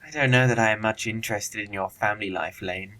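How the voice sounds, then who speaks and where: An actor playing a flippant, witty young upper-class English bachelor in an audio play, with arch, lofty, affected phrasing. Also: male voice, fairly low pitch, fast, pushed and bright, poor audio. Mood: dryly lofty. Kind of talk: audio play acting of Victorian comedy of manners.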